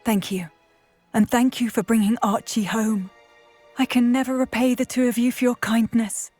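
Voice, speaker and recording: An actress playing a grieving, protective widowed witch mother in a video game, with a husky, breathy voice. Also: a little high, steady tone, moderate pace, mellow, soft background music, lightly acted. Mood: grateful.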